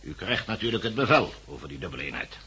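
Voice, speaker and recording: A man's voice: low-pitched, big pitch swings, quick pace, sharp tone, slightly rough, exaggerated voice. A man acting in a 1960s Dutch radio drama as a cold, officious committee envoy, formal and pompous, with a Netherlands accent. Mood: coolly insistent. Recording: muffled-edged vintage 1969 radio recording.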